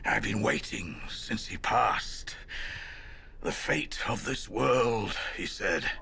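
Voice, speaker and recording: An actor playing a bitter, world-weary immortal old man in a video game, raspy and breathy, grumbling with slow, brooding drama. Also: on the high side, bright, forceful tone, slight background noise, full character voice. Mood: bitter, angry. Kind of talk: video game cutscene voice acting.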